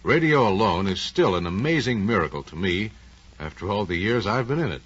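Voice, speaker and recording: A man's voice: lowish voice, animated, brisk, fairly bright tone, breathy edge. A man with an American accent speaking as announcer and interviewer in a scripted 1940s radio program, with polished, folksy delivery. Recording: muffled, lo-fi 1940s radio recording.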